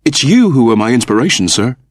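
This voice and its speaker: An eager young officer son acted by a male reader, respectful and formal. His pitch swings widely and the voice has a husky edge, as dramatised audiobook dialogue. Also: big pitch swings, quick pace, no echo, studio quality. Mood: awed, eager.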